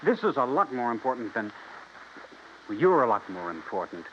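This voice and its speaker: A man's voice: medium pitch, sing-song, moderate pace, fairly bright tone. An American actor playing an earnest, pushy young ranchman in a 1950s radio drama, insistent and coaxing. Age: young adult. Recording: dull, faintly noisy 1950s radio recording.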